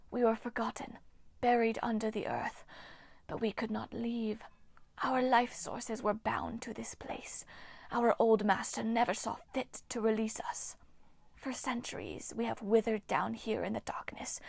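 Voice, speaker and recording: A woman voicing a bitter, ancient female water nymph in a slow, hissing whisper. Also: a little high, vocal fry, breathy edge, dry recording, exaggerated voice.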